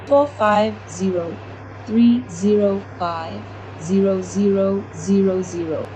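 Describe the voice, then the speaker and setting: A woman giving a step-by-step spoken product tutorial as a demonstrator, with halting, repetitive phrasing. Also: medium pitch. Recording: clearly noisy room ambience.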